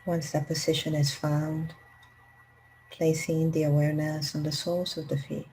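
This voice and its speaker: A woman leading a guided meditation in a calm, gentle, unhurried manner with long pauses. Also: low voice, slight background noise, low-quality recording. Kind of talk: guided meditation, slow spoken instructions.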